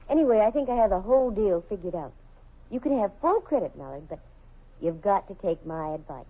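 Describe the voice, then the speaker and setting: A confident female private eye in a vintage radio drama, brisk and wisecracking. Her voice is mid-pitched and sure of itself. The sound is dull and muffled, like an old broadcast. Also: very animated. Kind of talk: 1950s radio drama acting.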